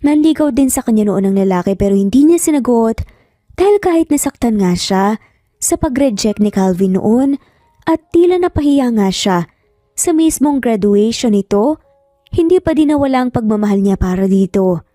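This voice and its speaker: A woman's voice: on the high side, animated, normal speed, dark tone, clean studio sound. A woman with a Filipino accent reading a scripted, dramatic romance story as narrator. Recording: roomy echo, faint music behind. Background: faint music.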